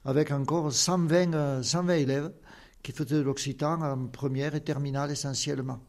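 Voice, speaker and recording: A man's voice: fairly high pitch, fairly even intonation, normal speed, darkish tone. Older man with a southern Occitan accent. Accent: Occitan southern French. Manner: earnest, explanatory, with many fillers. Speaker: guest. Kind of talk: interview answers.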